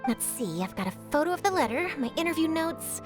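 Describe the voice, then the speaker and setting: An eager young game-character reporter with a very high, lively voice. She is theatrical and excitable, built for chasing scoops. Faint music plays behind her. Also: fairly quick. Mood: musing. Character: eager, dramatic young female news reporter hungry for scoops. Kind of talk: video game voice acting.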